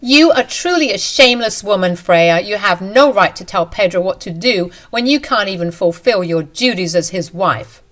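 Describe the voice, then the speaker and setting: A manipulative young mistress who whines and accuses, as a woman narrator puts her on. She pitches her voice up and pushes it loud and sharp, and she keeps playing the victim. It belongs to a spoken drama story. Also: lively intonation, moderate pace, hardly any pauses, natural voice. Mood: accusing. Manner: shrill, accusing and self-pitying.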